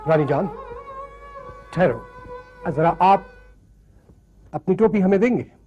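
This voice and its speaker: An actor playing a pompous, easily offended colonial gentleman in a screen drama, haughty and declamatory. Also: sits high, expressive, slow pace, dull audio, faint music behind. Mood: condescending. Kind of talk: theatrical screen drama acting.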